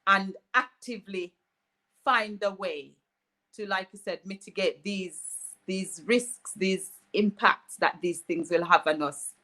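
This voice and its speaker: A woman from Jamaica, a doctor appearing as a guest, speaking in a measured, reflective way with light laughs. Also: medium pitch, bright, forceful tone, poor audio. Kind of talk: casual interview talk.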